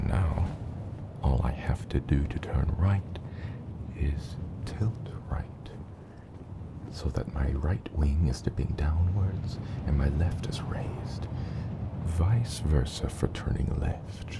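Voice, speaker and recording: Male actor as gentle father dragon, extremely low gravelly voice, hushed and breathy, slow patient teaching, ASMR roleplay, loud rumbling noise. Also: heavily acted. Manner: gentle, reassuring, unhurried, hushed.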